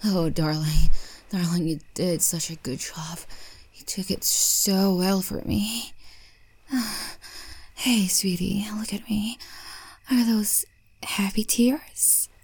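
Woman whispering slow and soft, teasing doting roleplay voice, bit of fry. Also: medium pitch. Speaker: audio roleplay performer.

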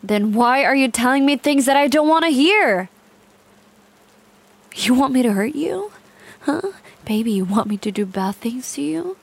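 A woman voicing an obsessive young female stalker who whispers breathily and swings between cooing and yelling in an audio roleplay. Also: medium pitch, animated, brisk, vocal fry, few pauses, slightly rough, full character voice. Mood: threatening. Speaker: voice actor.

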